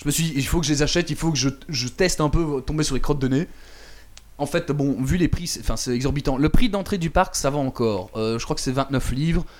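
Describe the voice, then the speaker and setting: A man's voice: on the high side, brisk. A man chatting casually on a talk show, recounting a visit with many fillers and repeated words. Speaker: radio show contributor recounting a trip. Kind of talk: casual chat.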